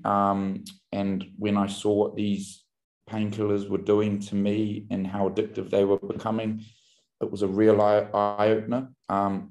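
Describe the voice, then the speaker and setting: A man, telling his story as a podcast guest in a candid, reflective way with many pauses and restarts. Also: fairly low pitch, darkish tone. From New Zealand. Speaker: podcast guest, brand founder. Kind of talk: casual interview storytelling.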